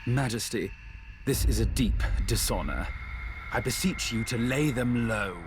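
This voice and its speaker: A man's voice: medium pitch, unhurried, background noise, lightly acted. A man playing a haughty, blustering royal brother in a video game, speaking in pompous, archaic formal phrases. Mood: indignant, outraged. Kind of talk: video game cutscene acting.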